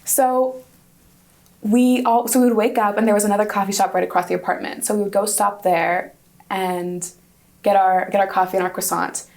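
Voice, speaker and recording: A woman's voice: medium pitch, steady tone, normal speed, darkish tone. Student guest, woman in her twenties, native Hungarian speaker; upbeat, chatty answers with restarts. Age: 20s. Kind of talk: casual interview answers.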